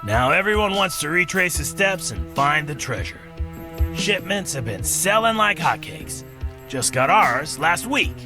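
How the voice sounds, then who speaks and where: A man's voice: fairly high pitch, sing-song, measured pace, over music. A man playing a folksy, teasing old gas station attendant in a visual novel, telling tales. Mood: folksy, matter-of-fact. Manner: drawling storyteller, folksy and teasing.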